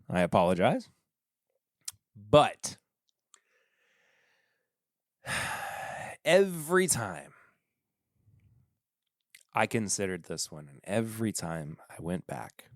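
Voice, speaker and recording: A man hosting a casual solo podcast from his desk at home, talking in a rambling, halting way that often stops and restarts. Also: lowish voice, dry recording, studio quality. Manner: rambling, halting, self-interrupting. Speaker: podcast host reviewing whiskey.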